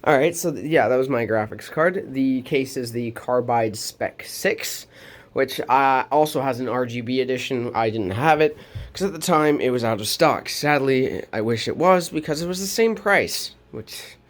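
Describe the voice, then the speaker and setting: A man's voice: mid-range voice, normal speed. A teenage boy vlogging from his bedroom, nasal from a cold, rambling with lots of ums and restarts as he moves around the camera mic. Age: teens. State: nasal, getting over a cold. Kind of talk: casual vlog.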